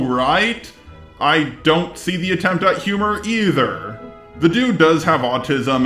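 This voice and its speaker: A man's voice: fairly high pitch, fairly bright tone. Male commentary host, synthetic robot-like voice, sarcastic and argumentative, echoey with music underneath. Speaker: video host. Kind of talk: scripted commentary on online drama.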